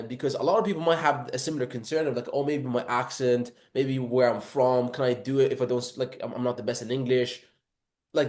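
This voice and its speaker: A man's voice: mid-range voice, a little mellow, echoey room. A man hosting a casual interview, eagerly asking several questions in a row.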